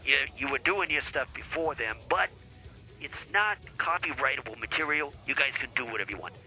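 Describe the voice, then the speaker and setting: A man's voice: mid-range voice, animated, fairly quick, pushed and bright, soft background music, low-quality recording. A man playing a brash, boozy, foul-mouthed divorce lawyer in an improvised comedy podcast sketch, pompous and blustering. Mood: impatient.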